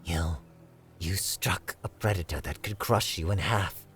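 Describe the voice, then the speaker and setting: A man voicing a fearful alien student in an audio story, speaking in a hushed, halting, shaken way. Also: deep voice, creaky, slight background noise. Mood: shocked, hushed.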